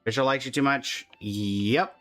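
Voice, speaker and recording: A man's voice: medium pitch, measured pace, fairly bright tone, soft background music. A man giving casual, chatty commentary on a game livestream, talking to his chat and humming little filler syllables.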